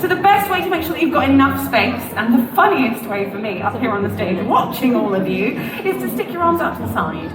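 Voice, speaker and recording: A woman with a high voice leads a crowd in a large indoor venue, playful and encouraging. Her amplified voice bounces around the echoey space and is heard from a distance. A busy crowd murmurs behind her. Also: very animated, a little fast, hardly any pauses. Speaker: event presenter. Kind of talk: live crowd instruction. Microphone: amplified microphone. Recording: echoey PA sound from far off. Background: crowd noise.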